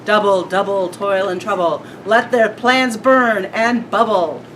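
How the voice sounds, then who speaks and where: A woman's voice: unhurried, a bit bright. A woman reading a children's story aloud as a member of school staff. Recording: noisy, rumbly, distant microphone.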